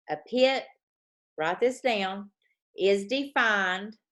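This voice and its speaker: A woman teaching a trading lesson, dictating slowly and repeating points, often checking in with "okay?". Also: mid-range voice, a bit bright. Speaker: forex trading coach.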